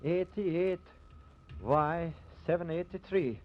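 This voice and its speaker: An actor playing a blustering, folksy country sheriff in a 1950s radio drama. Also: male voice, on the high side, takes it slowly. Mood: gruff, defensive. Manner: blustering, colloquial. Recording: dull, hissy vintage radio recording.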